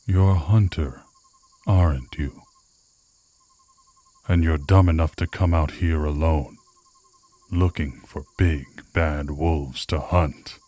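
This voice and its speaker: Male actor as gruff werewolf scout, ASMR roleplay. Extremely low, very unhurried, menacing and taunting. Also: steady tone, dark tone, exaggerated voice. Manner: slow, threatening, taunting.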